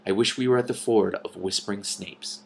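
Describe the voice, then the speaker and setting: A man's voice: fairly low pitch, a little fast, vocal fry, a little hiss or hum. A man voicing a bold young princess in a storybook, speaking in an earnest, insistent and pleading way. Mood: brisk.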